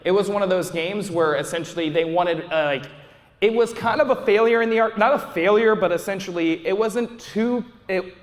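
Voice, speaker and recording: A man's voice: high voice, normal speed. A young man giving a casual, rambling live panel talk as a YouTuber at a fan convention, full of restarts and fillers, recorded from the audience. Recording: audience recording with long hall reverb.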